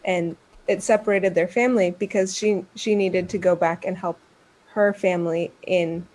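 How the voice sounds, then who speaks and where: A woman's voice: lowish voice, steady tone, normal speed, a little mellow, rough recording. A woman speaking as a guest expert, giving earnest explanatory answers in an interview. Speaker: guest expert on environmental communication.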